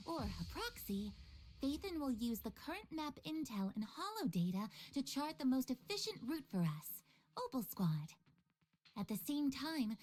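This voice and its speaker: A woman's voice: high voice, a little mellow. A woman playing an earnest, diligent young soldier in a video game, speaking formally and precisely.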